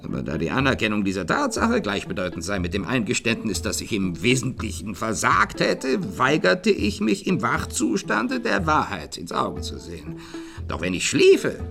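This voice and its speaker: An actor playing a wealthy, agitated man in a German radio crime drama, speaking vehemently and haltingly in a husky voice that can turn quavering. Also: mid-range voice. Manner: vehement, halting, repeating words for emphasis. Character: wealthy, agitated man haunted by a suicide dream.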